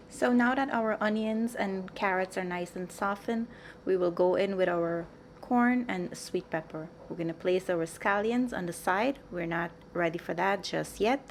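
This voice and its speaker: Low-pitched woman giving cooking instructions. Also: slight background noise. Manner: orderly step-by-step explaining. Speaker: cooking channel host.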